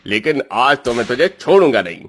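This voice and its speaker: A man's voice: mid-range voice, big pitch swings, brisk, fairly bright tone, slight background noise. A man voicing a greedy, cruel middle-aged moneylender in a cartoon story, with a harsh, scolding, scheming way of talking.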